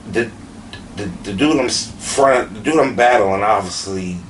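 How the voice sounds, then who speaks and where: A man's voice: lowish voice, measured pace. A man in his late twenties with a Philadelphia accent, talking candidly in an interview in an emphatic, repetitive way full of slang and swearing. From Southeastern Pennsylvania, USA. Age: late 20s. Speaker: battle rapper being interviewed. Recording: distant mic with faint background noise.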